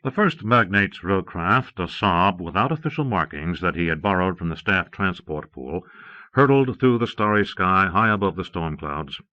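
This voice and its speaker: Muffled, dry cassette audiobook recording of a man with a fairly low voice. He reads scripted fiction as a narrator, close to the microphone. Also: expressive, normal speed, bright, forceful tone, no echo.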